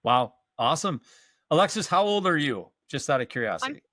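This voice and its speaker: A man's voice: fairly high pitch, very animated, a little fast, pushed and bright, no room sound, clean studio sound. A man in his fifties hosting a podcast interview over a video call, chatty and rambling, often restarting his sentences. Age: 50s. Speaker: podcast host. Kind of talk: casual interview chat.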